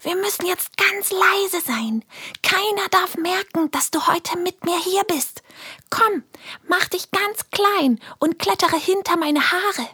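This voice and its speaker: A woman's voice: extremely high pitch, lively intonation, slow, bright, forceful tone, dry recording, clean studio sound, pitched above the performer's own voice, full character voice. Woman playing a chirpy little girl. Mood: hurried. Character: lively little kindergarten girl.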